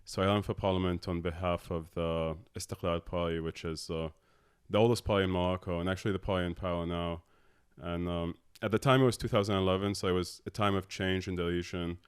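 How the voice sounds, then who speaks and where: A man in his thirties from Abu Dhabi, a tech co-founder talking as a podcast guest in a halting way with many filler sounds. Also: low voice, fairly even intonation, clear, resonant tone. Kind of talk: casual interview talk.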